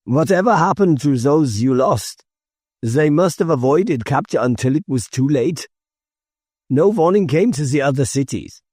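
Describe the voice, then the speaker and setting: A man's voice: on the high side, expressive, unhurried, darkish tone, breathy edge, no echo, studio quality, voice pushed up. A man voicing an earnest young male rebel fighter in audiobook dialogue, sober and reasoning.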